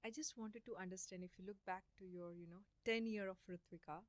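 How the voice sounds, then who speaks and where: A woman hosting a podcast interview, asking slow, careful questions and murmuring "mm"s as she listens. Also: medium pitch, fairly even intonation, no room sound. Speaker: podcast host.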